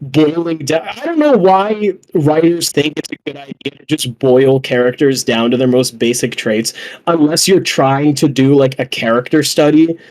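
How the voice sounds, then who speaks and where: Earnest higher-voiced man chatting casually. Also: normal speed, darkish tone, low-quality recording. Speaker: podcast panelist discussing films. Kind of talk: casual chat.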